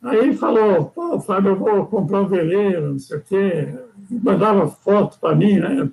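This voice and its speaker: An older Brazilian man with a high, gravelly voice tells sea stories in a loose, rambling chat. He keeps adding little questions to his sentences and restarts his thoughts as he goes. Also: unhurried, darkish tone, rough recording. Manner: rambling, frequent "né?" tags and restarts. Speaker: guest sailor. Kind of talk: casual storytelling chat.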